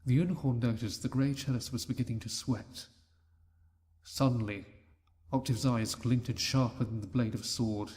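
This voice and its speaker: A man narrating a fan-written story in a steady reading voice. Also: mid-range voice, moderate pace. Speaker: reader.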